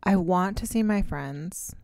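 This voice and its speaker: A woman chats casually as a podcast co-host, candid and rambling, swearing now and then. Her voice sits a little low. She is close on the mic in a dry, dead-sounding space. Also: a little slow, gravelly, darkish tone, no room sound. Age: 30s. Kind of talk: casual chat.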